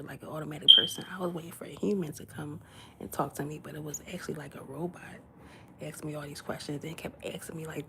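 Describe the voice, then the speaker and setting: A young woman streaming from inside someone else's home, keeping her voice hushed and low, often slipping into a whisper. Her tone is bright and gravelly, with a slightly low pitch. She tells stories animatedly and plays out both sides of each conversation. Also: slight background noise. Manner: animated storytelling, acting out dialogue. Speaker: live-stream vlogger. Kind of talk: casual chat with live viewers. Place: indoors in someone else's house.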